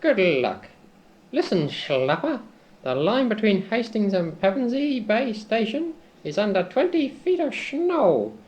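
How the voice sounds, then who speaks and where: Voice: mid-range voice, big pitch swings, a little slow, a little mellow. An amateur performer voicing an oily, wheedling comic schemer in an exaggerated radio-play reading, recorded on old cassette tape. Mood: wheedling, bossy.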